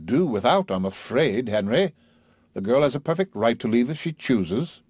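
A man's voice: medium pitch, expressive, moderate pace, a little mellow, husky, no echo, studio quality, voice pushed up. A man voicing a composed, drily reproving older woman. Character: calm, dry-witted older mother, composed.

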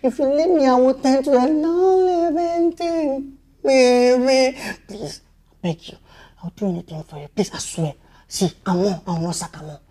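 A woman playing a smitten husband who stammers and begs softly, over music in a comedy skit. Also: high voice, a little slow. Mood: begging. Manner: soft, stammering, begging. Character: pleading smitten husband, man, grown.

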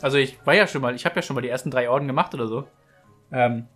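A man's voice: medium pitch, fast, a bit bright, soft background music. Rambling laid-back let's player guy. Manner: rambling, self-mocking, laughs often. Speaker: let's play co-host. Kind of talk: casual gaming chat.

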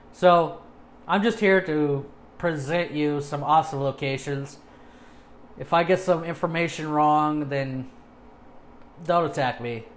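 Casual male video presenter, thirties, slightly high voice, informal and self-deprecating, small home studio. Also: a little hiss or hum. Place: small home studio with acoustic foam, indoors.

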